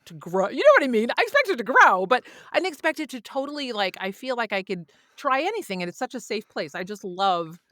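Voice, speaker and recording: Animated American woman, effusive and chatty. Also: on the high side, fast talker, few pauses, no room sound, clean studio sound. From New York, United States. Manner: effusive, gushing. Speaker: podcast host. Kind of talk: casual chat.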